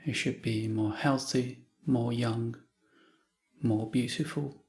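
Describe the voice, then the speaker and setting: A man leading a guided meditation in short, calm phrases with long pauses. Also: mid-range voice. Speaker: meditation teacher.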